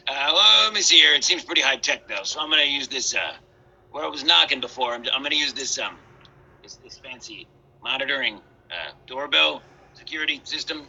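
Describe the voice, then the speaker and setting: A man playing a hungry, hapless Big Bad Wolf in a comic children's story, hesitant and rambling with deadpan asides. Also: mid-range voice, fairly quick, lo-fi sound, full character voice. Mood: impressed, muttering.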